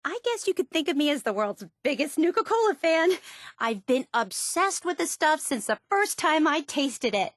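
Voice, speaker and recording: Game role: gushing young woman superfan, high voice, very animated, geeky and earnest, dry studio sound. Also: normal speed, no room sound. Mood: excited. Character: eager, obsessive young woman soda superfan and tour guide. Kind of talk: video game character dialogue.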